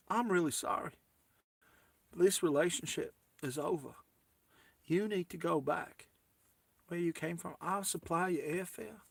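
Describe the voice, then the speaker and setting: Man acting a soft-spoken, apologetic boyfriend. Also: high-pitched, creaky, husky, no echo, full character voice. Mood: apologetic, tender. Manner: soft, coaxing, halting. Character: gentle apologetic boyfriend breaking it off tenderly.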